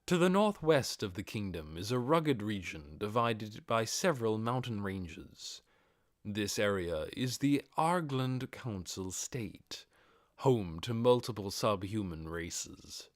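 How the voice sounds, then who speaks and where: Man voicing low, deliberate undead overlord. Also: sing-song, no echo, studio quality. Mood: serious, explaining. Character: commanding male undead overlord, measured and explanatory.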